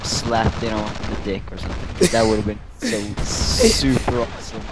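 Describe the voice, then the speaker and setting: A young man telling stories in a casual, halting, giggly way with lots of informal slang. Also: lowish voice, fairly even intonation. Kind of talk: casual chat, storytelling. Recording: very noisy amateur mic, clicks. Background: loud game gunfire.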